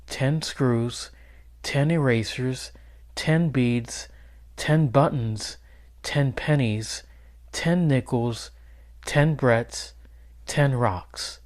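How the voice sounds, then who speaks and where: A man reading a children's picture book aloud as a teacher, in an expressive storytelling style. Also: mid-range voice.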